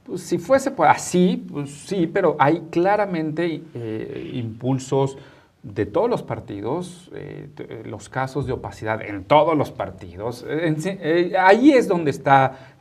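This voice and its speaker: Mexican male interviewer, conversational, halting phrasing, medium pitch. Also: very animated, darkish tone. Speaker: interviewer, talk show host.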